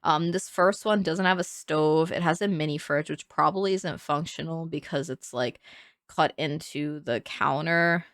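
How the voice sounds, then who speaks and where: A woman's voice: sits low, moderate pace, a bit bright, no room sound, studio quality. A young woman with a nasal, slightly congested voice, chatting casually over a game build and laughing often. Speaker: Sims builder narrating a speed build.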